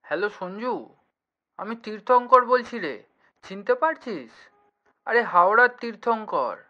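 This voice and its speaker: A man's voice: high voice, expressive, unhurried, dull audio, pitched above the performer's own voice. A man voicing an anxious, questioning young male visitor, earnest and colloquial, in a horror cartoon. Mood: questioning, startled.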